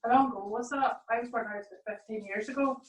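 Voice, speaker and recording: A woman's voice: fairly high pitch, fast talker, reverberant, far from the mic, low-quality recording. A woman chef hosting a live virtual event from her kitchen, effusive with praise and given to small stumbles. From Northern Ireland. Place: home kitchen. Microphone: video call.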